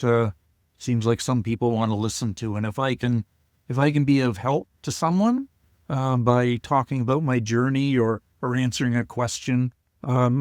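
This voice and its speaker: Halting, shy older man. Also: mid-range voice, a little mellow, no room sound, studio quality. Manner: halting, shy storytelling with frequent restarts. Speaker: guest, COPD advocate. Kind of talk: casual interview talk.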